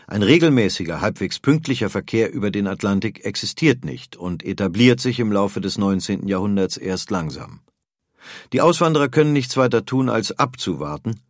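A man reading scripted documentary narration, deliberate and with dramatic pauses. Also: on the low side, dry recording, studio quality.

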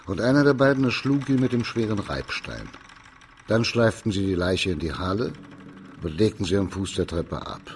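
An actor playing a composed, stern judge and investigator in a radio crime drama, measured and formal. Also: male voice, lowish voice, expressive, a little hiss or hum, lightly acted. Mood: reflective, analytical.

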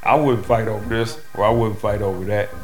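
A man's voice: on the low side, steady tone, a little fast. Blunt man talking over music. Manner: blunt, colloquial, emphatic. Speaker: musician and record label member. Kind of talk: candid interview talk.

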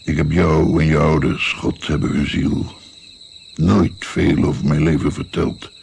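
A weary old grandfather in a radio play, burdened by guilt. His voice is extremely low and full of creak, and he speaks slowly and broodingly, with crickets chirping behind him. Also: little pitch movement, darkish tone, exaggerated voice. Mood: reminiscing. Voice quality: gravelly, creaky. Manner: slow, pausing, brooding. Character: weary, guilt-ridden 76-year-old Italian-born grandfather. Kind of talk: radio drama acting. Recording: faint noise, night crickets.

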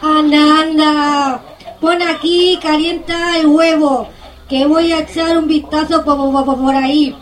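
A woman's voice: high voice, level tone, takes it slowly, rough recording. A woman playing a sceptical, grumbling farmyard hen in a comic radio play. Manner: dismissive, colloquial. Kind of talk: comic radio theatre acting.

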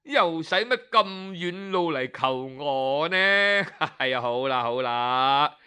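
A man's voice: on the high side, animated, takes it slowly, pushed and bright, smooth, pitched below the performer's own voice, heavily acted. A man doing a sneering, drawling minister. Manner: drawling, mocking, sarcastic. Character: smug powerful male minister, sly and sneering.

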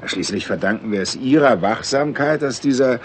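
A man's voice: mid-range voice, animated, moderate pace, lightly acted. A man playing an evasive senior state secretary in a 1960s radio drama, officious and insistent, sometimes hesitant as he starts. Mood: confidential, officious. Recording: noisy old radio recording.

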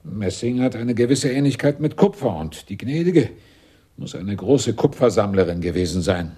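An actor in a German radio play as a blunt, grumbling fire captain explaining things matter-of-factly. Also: male voice, on the low side, lively intonation, moderate pace, dark tone, slightly rough. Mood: dry, matter-of-fact.

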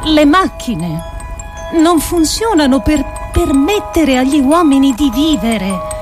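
Earnest female philosopher for radio drama: fairly high voice, slow declamatory delivery, weighty pauses, grave conviction. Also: big pitch swings, over music, full character voice. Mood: grave, reflective. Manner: deliberate, declamatory, with weighty pauses between phrases. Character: earnest, grave woman thinker delivering a political monologue. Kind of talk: theatrical radio monologue of philosophical prose.

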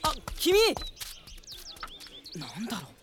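Lively, kind young mage. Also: male voice, sits high, slow pace, exaggerated voice. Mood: friendly, puzzled. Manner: courteous, gentle. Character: kind, polite young male mage, earnest. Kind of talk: Japanese radio drama acting. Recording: noisy outdoor scene sounds behind.

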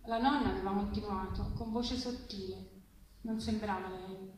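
A woman giving an expressive live reading of a literary story to a festival audience. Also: mid-range voice, normal speed. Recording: very echoey, distant, faint noise.

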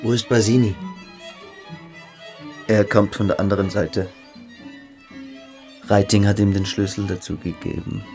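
An actor playing a cool, commanding teenage military cadet around 1900 in a radio drama, sounding slightly distant. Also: male voice, on the low side, steady tone, unhurried, vocal fry, pauses often, over music. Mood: secretive.

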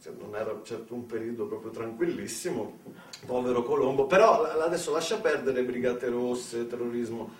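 A man's voice: medium pitch, moderate pace, slight background noise. An Italian man performing a satirical theatre monologue in an ironic, colloquial way, full of rhetorical questions and asides. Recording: echoey room, distant microphone.

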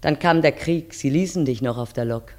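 A woman playing a wistful wife who narrates her memories in a measured, poetic radio play style. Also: very deep voice, darkish tone. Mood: bitter, solemn. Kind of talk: dramatic first-person radio play narration.

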